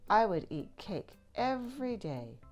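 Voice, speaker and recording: A woman voicing an excitable little girl piglet in a storybook, bright and insistent. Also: mid-range voice, measured pace, faint music behind, pitched above the performer's own voice. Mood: playful.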